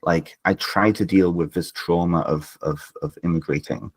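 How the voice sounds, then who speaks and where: A man's voice: low-pitched, steady tone, moderate pace. A man from Wales with a Welsh accent, speaking earnestly in a reflective interview and often checking in with "right?". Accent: Welsh, with northern English and Canadian traces.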